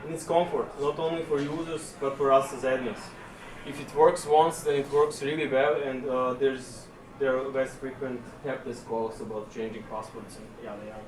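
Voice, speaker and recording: A man from Serbia gives a technical conference presentation in a relaxed, chatty way, pausing often on fillers. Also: mid-range voice. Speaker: conference speaker. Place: conference session room. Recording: echoey room, distant mic, room noise.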